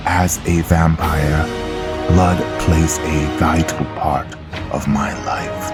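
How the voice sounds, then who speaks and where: A man voicing a proud, brooding vampire blood knight in a slow, measured monologue for a game roleplay. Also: a bass voice, voice pushed down, full character voice. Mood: brooding acceptance. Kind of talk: scripted in-character monologue.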